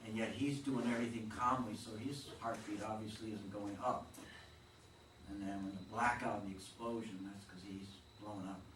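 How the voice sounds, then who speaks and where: A man's voice: fairly low pitch, steady tone, normal speed, fairly bright tone. Playwright guy explaining his play, echoey hall, noisy distant recording. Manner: explanatory, patiently walking through the plot. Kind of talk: post-reading discussion. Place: theatre room.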